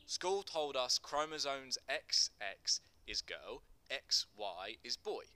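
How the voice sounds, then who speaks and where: A man's voice: mid-range voice, big pitch swings, slow, bright, forceful tone, a little hiss or hum, heavily acted. A man acting an earnest gangster who explains things with emphatic passion in a dramatic screenplay reading. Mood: earnest, animated. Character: earnest Teddy Boy gangster, passionate non-binary teacher.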